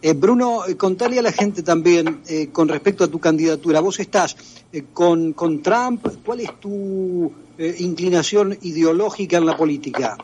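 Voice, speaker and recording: A man's voice: high-pitched, normal speed, darkish tone, husky. A man with an Argentine accent, hosting a radio interview and asking questions with frequent hesitations. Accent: Argentine Spanish. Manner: hesitant, with many filler sounds. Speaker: radio host.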